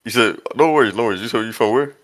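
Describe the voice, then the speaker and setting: Lowish-voiced male developer hosting a chat Q&A, loose and slangy. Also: racing. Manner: slangy, laughs often, says bro a lot. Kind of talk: casual voice-chat Q&A.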